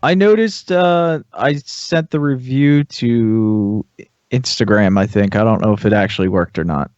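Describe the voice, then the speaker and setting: Male panelist, very measured tempo, informal, close dry sound with a dull edge. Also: fairly low pitch, a little mellow, no room sound. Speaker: podcast panelist. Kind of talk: casual chat. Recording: slightly dull, dry voice-call audio.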